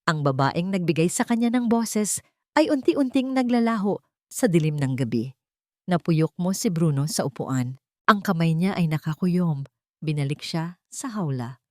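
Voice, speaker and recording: A woman's voice: lowish voice, lively intonation, moderate pace, dry recording, clean studio sound. A woman narrator reading a scripted dramatic story.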